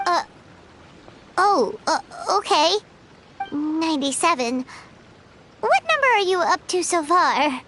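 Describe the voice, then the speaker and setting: A woman voicing a perky, curious, cheeky young girl adventurer in a video game, with an expressive, chirpy delivery. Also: extremely high pitch, takes it slowly, long pauses, slight background noise, heavily acted.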